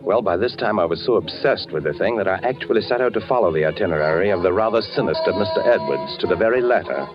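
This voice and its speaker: An actor playing a wry, matter-of-fact amateur investigator in a 1940s radio suspense drama. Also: male voice, low-pitched, lively intonation, fast, few pauses. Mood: reflective narration. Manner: dry, wisecracking, matter-of-fact. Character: curious, wry, unadventurous man turned amateur investigator. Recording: dull 1940s radio recording with music.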